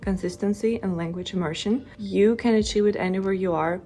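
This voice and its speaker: A woman's voice: medium pitch, fairly quick, dark tone, faint music behind. Encouraging woman with a Russian accent. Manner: earnest, encouraging, direct address to viewers. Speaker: language-learning vlogger. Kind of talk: motivational talk to camera.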